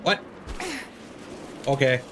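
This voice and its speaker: A man's voice: extremely slow, a bit bright, a little hiss or hum. A man giving casual live commentary as he streams a video game, thinking aloud hesitantly.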